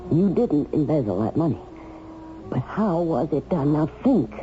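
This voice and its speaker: A woman's voice: low voice, very animated, a little slow. A woman acting in a radio drama as an anxious, loyal wife who probes and questions urgently. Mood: urgent, questioning. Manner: urgent, probing. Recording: muffled old radio recording with music.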